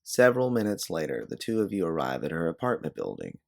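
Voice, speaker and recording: Deep creaky man narrating a suspense story. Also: wildly swooping pitch, quick pace. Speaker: narrator of an original audio story. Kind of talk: scripted second-person dramatic narration.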